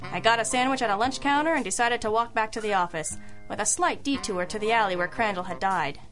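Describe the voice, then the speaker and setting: Female radio sleuth acted by a woman, hard-boiled, wry, crisp bright tone, slightly high voice, dry narration, music underneath. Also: fast, close to an everyday voice. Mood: wry, brisk. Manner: dry, wisecracking. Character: wry, self-assured girl detective in a hard-boiled mystery. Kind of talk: old-school radio detective narration.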